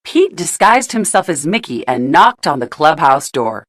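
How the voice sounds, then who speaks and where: Woman, storybook narrator, expressive children's read-aloud, very lively intonation, slightly low pitch, dry close sound. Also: normal speed, no echo. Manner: asks the young listeners questions.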